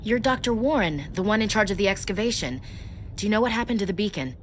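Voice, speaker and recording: A woman's voice: medium pitch, animated, quick pace, a little hiss or hum, natural voice. A woman playing a dutiful, wary young soldier in a video game, speaking in a plain, matter-of-fact way. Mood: questioning.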